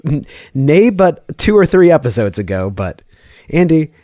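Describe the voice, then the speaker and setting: A man co-hosting a casual podcast, stuffy with a cold and slightly gravelly, with a playful, teasing manner. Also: fairly high pitch, big pitch swings, measured pace, mellow, no room sound, clean studio sound. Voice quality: slightly gravelly, some vocal fry. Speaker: podcast co-host. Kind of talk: casual co-hosted podcast chat.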